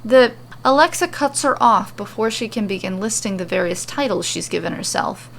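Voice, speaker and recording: A woman's voice: medium pitch, lively intonation, brisk, vocal fry, fairly bright tone. A woman hosting and reading aloud her own podcast-style fantasy fiction. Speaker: host and story reader. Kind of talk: narrating homemade fantasy fiction.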